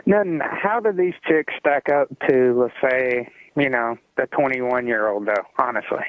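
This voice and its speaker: A man's voice: mid-range voice, moderate pace. A man from Georgia phoning in to a radio show, chatting casually over a tinny line. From Georgia, United States. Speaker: radio phone-in caller. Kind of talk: casual phone chat. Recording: tinny phone line with faint noise.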